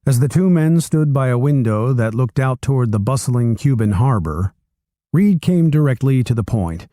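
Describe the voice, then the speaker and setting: A man reading a nonfiction book aloud chapter by chapter, with expressive storytelling delivery. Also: mid-range voice, moderate pace, no room sound, clean studio sound. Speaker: reader.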